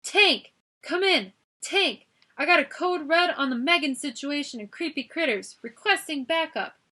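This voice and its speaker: A woman's voice: mid-range voice, normal speed, a bit bright. A woman voicing a gangly, menacing male security guard who barks threats. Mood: angry. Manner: barking, threatening.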